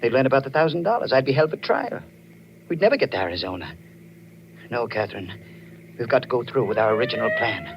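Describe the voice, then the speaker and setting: A man's voice: medium pitch, sing-song, a little hiss or hum. An actor playing an anxious, guilt-ridden man on the run in a tense, dramatic 1940s radio mystery. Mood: grimly anxious. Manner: tense, dramatic, halting under pressure. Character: anxious, guilt-ridden male fugitive narrating his own nightmare. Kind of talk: 1940s radio mystery acting, first-person narration. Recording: muffled old radio recording, music behind.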